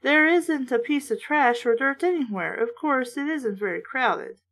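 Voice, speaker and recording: A woman's voice: high-pitched, lively intonation, unhurried, a bit bright, heavily acted. A woman voicing a placating mother in a children's horror storybook, reassuring and trying to sound cheerful. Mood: forced cheer.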